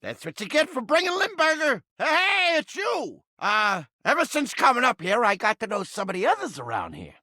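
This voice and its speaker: A touchy little mouse trap brought to life, with a piercing, bright voice recorded very dry. He is a brash, streetwise video game cartoon character who gripes in crude slang and flips between insult and bashfulness. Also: very high-pitched, huge pitch swings, normal speed, few pauses, no room sound, heavily acted. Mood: playful, wheedling, then nervous, smitten. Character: foul-mouthed, touchy, lovelorn male mouse trap come to life.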